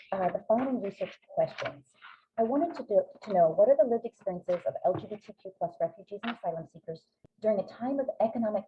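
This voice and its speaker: A woman's voice: medium pitch, breathy edge. A woman whose first language is Greek, giving an academic webinar talk in an earnest way with frequent ums. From Greek American family. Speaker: presenting researcher. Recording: tinny phone-like line.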